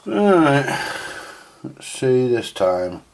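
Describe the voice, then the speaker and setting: A man with a husky voice, giving informal, unscripted hands-on commentary as he mutters through a repair, now and then mildly sweary. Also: mid-range voice, sing-song, very slow, low-quality recording. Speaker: host of a vintage radio repair video.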